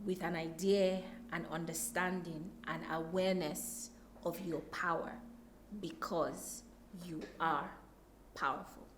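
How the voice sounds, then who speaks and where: A woman's voice: lowish voice, steady tone, takes it slowly, slight background noise. A woman with a Nigerian accent talking reflectively as an interview guest, telling things expressively with emphatic repetition.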